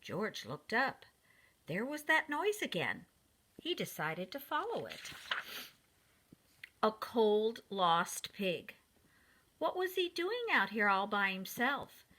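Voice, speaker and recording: A woman reading a picture book aloud to young children in an expressive, deliberate manner, speaking directly to them. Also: mid-range voice.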